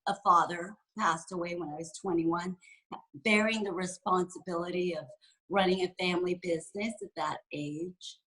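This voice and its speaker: Bright, candid woman guest. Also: fairly low pitch, fairly even intonation, normal speed, low-quality recording. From San Francisco, USA. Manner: candid and reflective, with frequent fillers. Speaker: guest executive and entrepreneur. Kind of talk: conversational interview.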